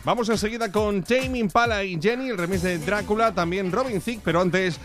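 A man hosting a morning radio show, playful and lively, reading news and chatting, sometimes singing bits of his lines. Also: high voice, a little fast, faint music behind.

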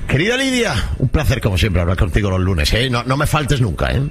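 A man's voice: mid-range voice, huge pitch swings, a little fast, a little hiss or hum. A man with a Spanish accent from Spain hosting live radio in an off-the-cuff, chatty presenting style.

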